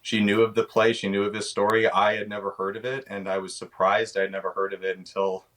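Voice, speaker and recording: A man's voice: on the low side, level tone, fairly quick, fairly bright tone. A man in his twenties with a Canadian accent, giving casual interview answers and often searching for his words. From British Columbia, Canada. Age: 20s. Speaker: actor, interview guest.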